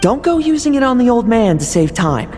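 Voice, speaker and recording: An actor playing a cool, sardonic young schemer in a video game, with a wry, measured, dismissive manner and long reverb. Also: male voice, extremely high pitch, big pitch swings, darkish tone. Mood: dismissive, cautious. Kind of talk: dramatic video game voice acting. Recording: long hall reverb over music.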